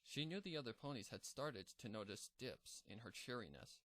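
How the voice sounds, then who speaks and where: A man narrating a dark fan fiction story in a measured, somber reading. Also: medium pitch, expressive, no echo. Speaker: fanfic narrator.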